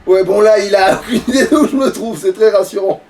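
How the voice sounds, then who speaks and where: A man's voice: high voice, brisk, darkish tone. A man giving casual, colloquial, sweary let's play commentary as he plays a video game. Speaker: gamer playing a boss fight. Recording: distant mic, game music behind.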